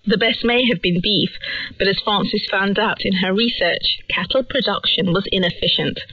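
A woman's voice: medium pitch, normal speed, breathy edge, no room sound. A woman presenting a radio documentary with scripted narration. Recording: dull lo-fi shortwave radio capture.

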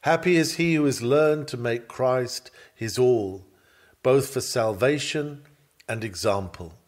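A man reads a written sermon aloud in a measured, earnest, rhetorical manner. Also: medium pitch. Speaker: reader of a sermon.

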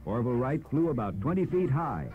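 A man's voice: mid-range voice, very animated, a little fast. A man reading a scripted documentary narration in a formal, oratorical manner. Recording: dull lo-fi cassette with music behind. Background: music.

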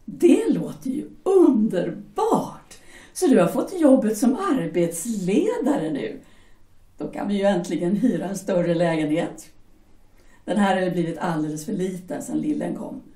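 A woman's voice: medium pitch, expressive, warm tone, recorded from a distance, natural voice. A woman playing a pragmatic young German mother who insistently coaxes her husband. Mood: persuasive. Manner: coaxing, insistent, brushes off doubts. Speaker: shipyard worker's wife. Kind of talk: amateur audio drama acting. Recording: echoey room, voice set back from mic.

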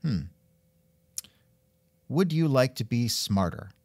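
A man's voice: medium pitch, lively intonation, slow pace, a little mellow, no room sound. A man hosting an interview in a casual, musing way, with wry asides and thoughtful hmms. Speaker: podcast host and interviewer. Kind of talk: casual scripted interview chat.

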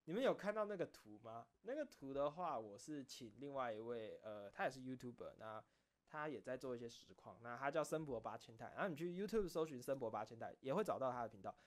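A man talks casually, like a streamer alone with his audience. His pitch moves up and down a lot, giving the talk an animated feel. He rambles along in a self-deprecating manner at a middling pitch. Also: fairly quick. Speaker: streamer and video creator. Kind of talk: casual solo chat, recounting behind-the-scenes stories.

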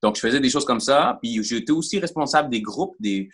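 A man in his thirties from Montreal with a Quebec French accent, speaking haltingly in a casual video-call interview from a room at home. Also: mid-range voice, lively intonation, fairly bright tone, husky. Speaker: incoming church staff member. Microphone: laptop camera on a video call.

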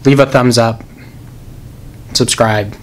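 Young guy fishing vlog, slow easygoing talk, outdoor noise behind him. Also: mid-range voice. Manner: excited, frustrated. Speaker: fishing vlogger. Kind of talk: casual vlog narration. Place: outdoors on a waterfront dock. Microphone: action camera. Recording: outdoor camera with ambient noise.

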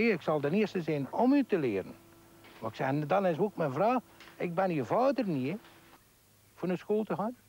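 A Flemish man in his fifties with a high voice that swings up and down a lot. He talks in an animated way, acting out a conversation as he tells it. He was recorded outdoors next to a parked truck. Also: poor audio. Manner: animated, acts out a dialogue in his answer. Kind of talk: interview answers.

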